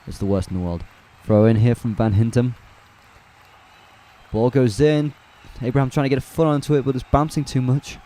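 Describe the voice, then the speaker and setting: A man calling a football match live on a fan watch-along stream, chatty and relaxed, correcting himself as he goes and answering viewers' chat. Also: mid-range voice, moderate pace. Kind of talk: casual live play-by-play commentary.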